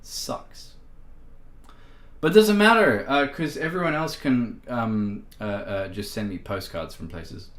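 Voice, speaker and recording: Male streamer, slow and rambling, chatting from a roomy space. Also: medium pitch, a bit bright. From Australia. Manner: rambling and self-deprecating, many fillers. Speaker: livestream host. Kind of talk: casual livestream chat. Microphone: streaming microphone. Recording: echoey room.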